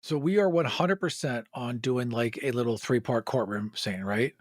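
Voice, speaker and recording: A man with a husky voice, chatting casually as a podcast host planning with others. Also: medium pitch, normal speed, no room sound, studio quality.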